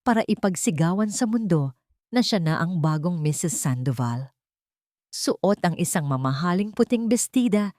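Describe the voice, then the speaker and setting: A woman's voice: deep voice, a little mellow, dry recording, clean studio sound. A woman narrating a scripted audiobook story in a dramatic style.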